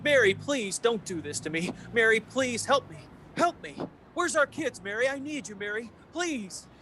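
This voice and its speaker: A man's voice: very high voice, lively intonation, normal speed, noisy background. An actor playing a desperate, agitated family man in a pleading, old-time radio drama style.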